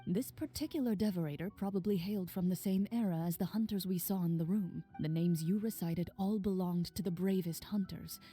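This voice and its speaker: Casting: composed young hunter-duelist, lowish husky tone, formal and reserved game dialogue. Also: female voice, brisk, hardly any pauses, mellow, faint music behind. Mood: explaining calmly. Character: Clorinde, composed stoic young female champion duelist and hunter. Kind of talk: video game voice acting.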